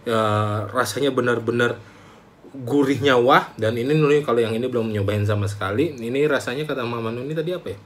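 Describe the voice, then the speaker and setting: A man's voice: mid-range voice, fairly quick, slight background noise. Chatty young man reviewing food. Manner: chatty, animated, calls himself by name. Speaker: food vlogger. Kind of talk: casual food review to camera. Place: small room indoors, plain wall.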